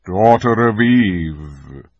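A man voicing a majestic lion king in a storybook, grave, slow and commanding. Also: fairly low pitch, expressive, no room sound, dull audio, full character voice. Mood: grave reproach. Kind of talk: dramatised audiobook reading of a children's fantasy.

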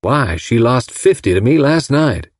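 A man voicing a wealthy, folksy gentleman from the South, talking effusively. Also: medium pitch, sing-song, a little slow, dry recording, studio quality. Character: wealthy Alabama gentleman, gushing and admiring.

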